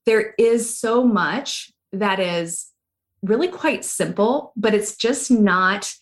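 A middle-aged woman from Hawaii talks at a mid pitch in an unhurried, reflective way. She weighs her thoughts aloud and speaks warmly as a guest in an interview. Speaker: guest, yoga teacher. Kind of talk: conversational interview.

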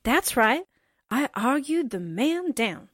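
An actress playing a breezy, playful young gal with slangy talk in 1940s-style radio drama. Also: female voice, high-pitched, very animated, slow, a bit bright, no room sound, clean studio sound, exaggerated voice. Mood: proud, teasing. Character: breezy, man-hungry young gal, reporter's chummy sidekick.

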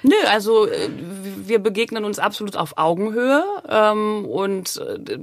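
A woman, answering conversationally as an interview guest, with frequent hesitant pauses. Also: mid-range voice, expressive, dry recording, studio quality. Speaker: talent agency manager, interview guest.

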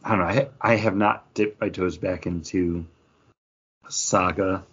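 A man's voice: sits low, steady tone, a little slow, fairly bright tone, breathy edge. A man co-hosting a casual comic book review, hesitant as he thinks aloud. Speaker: co-host of a comics review show. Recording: dull lo-fi audio.

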